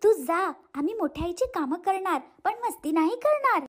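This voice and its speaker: A woman's voice: very high voice, expressive, moderate pace, voice pushed up. A woman voicing a timid, pleading little orphan girl in a cartoon moral story, with a childlike manner. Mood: obedient, meek.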